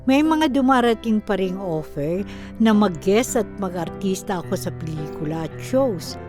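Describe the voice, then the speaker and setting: A woman delivering scripted dramatic narration in a reflective, measured way, as a radio drama narrator reading a letter. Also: mid-range voice.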